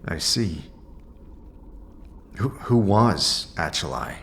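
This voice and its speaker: A man's voice: sits low, sing-song, drawn-out words, a bit bright, exaggerated voice. A man playing a hesitant, curious wanderer in a fantasy audio drama, asking tentatively and with an apologetic manner. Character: hesitant, curious male wanderer seeking knowledge.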